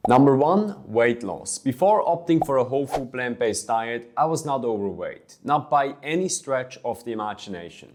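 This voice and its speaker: A man's voice: medium pitch, lively intonation, normal speed, few pauses, darkish tone. Earnest young Swiss-born man telling his story. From Switzerland. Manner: earnest, storytelling with small restarts. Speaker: fitness coach. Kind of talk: personal vlog monologue.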